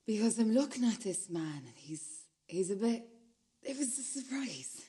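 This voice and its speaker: An actress playing a shaken, obsessive witness, delivering an intense and halting radio drama monologue with repeated phrases. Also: female voice, fairly high pitch, big pitch swings, mellow. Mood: absorbed, unsettled. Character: shaken, obsessive witness recounting violent footage.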